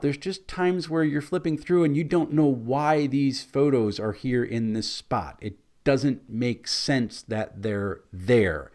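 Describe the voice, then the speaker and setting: A man with a scratchy, hoarse voice, casually reviewing a tabletop game book. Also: medium pitch, darkish tone. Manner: conversational, mildly critical.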